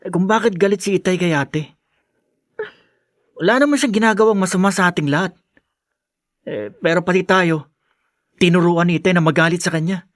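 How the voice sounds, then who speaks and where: Actor as earnest young brother, high male voice, dry sound, serious, scolding, questioning, radio drama. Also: brisk, pauses often, no echo, close to an everyday voice. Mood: pensive. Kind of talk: Filipino podcast radio drama acting.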